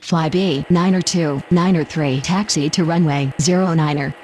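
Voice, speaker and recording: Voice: medium pitch, steady tone, moderate pace, a little mellow, slight background noise. A speaker making procedural aviation radio calls in set phraseology. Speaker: pilot and air traffic controller radio voice.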